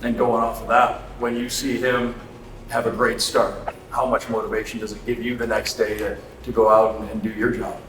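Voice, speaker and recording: A man's voice: fairly low pitch, level tone, moderate pace, a bit bright. Man interviewing in an echoey room. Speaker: interviewer, sports broadcaster. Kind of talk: sit-down sports interview. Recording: echoey room with faint ambient noise.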